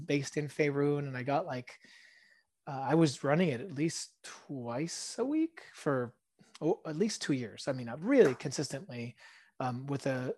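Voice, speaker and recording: A man in his thirties, born in Israel and a native Hebrew speaker, talking as a podcast guest in a musing, soft-spoken way that often trails off into quiet asides. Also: on the high side, dry recording, clean studio sound. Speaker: podcast guest, tabletop game designer. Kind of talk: casual interview chat.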